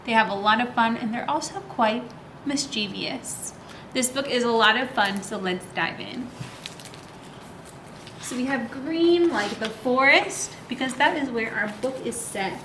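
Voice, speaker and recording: A woman's voice: a little high, measured pace. A woman hosting a children's story time, reading a picture book aloud and talking expressively to young children with leading questions. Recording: roomy echo, faint background noise.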